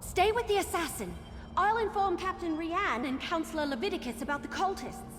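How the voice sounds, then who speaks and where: An actress playing a brisk, urgent ally who gives orders in a video game. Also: female voice, extremely high pitch. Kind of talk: video game character dialogue. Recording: long hall reverb, music over voice.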